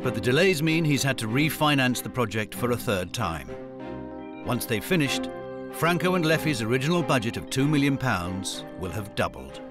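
A British man narrates a documentary in a scripted, polished television style. His mid-pitched voice moves with lively intonation over prominent background music. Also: moderate pace. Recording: music under the voice.

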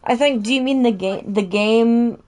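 A woman's voice: a little high, moderate pace, darkish tone. A young woman streaming a game from home, chatting casually, swearing and laughing as she plays. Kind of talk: casual live-stream chat. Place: home, indoors.

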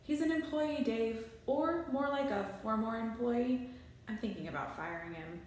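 A woman's voice: fairly high pitch, normal speed, slight background noise, natural voice. A woman voicing a self-important, scornful young boy detective from a storybook, grandly dramatic. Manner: pompous, deadpan grandiosity. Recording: very echoey small bare room.

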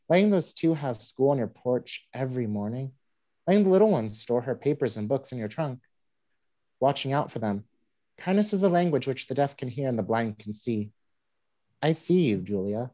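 A man in a play reading, voicing a gentle, besotted newspaperman of the Old West. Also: medium pitch, highs cut off, lightly acted. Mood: tender, admiring. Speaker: actor in an online staged reading. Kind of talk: scripted stage play reading. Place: indoors at home, on a group video call.

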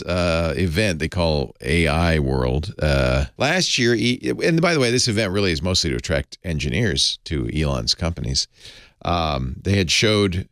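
Wry older man with a gravelly voice. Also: sits low, a bit bright, no echo, studio quality. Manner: wry, joking, with laughs. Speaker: radio host. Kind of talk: casual radio commentary.

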